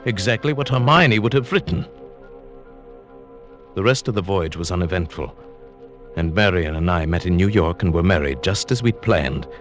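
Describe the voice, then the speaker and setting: An actor playing a scheming professor who narrates his own wife-murder, in a smooth, quietly gloating 1940s radio drama style. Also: low voice, animated, normal speed. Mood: cold narration. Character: scheming middle-aged male professor, cold wife-murderer. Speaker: narrator and lead character. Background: music.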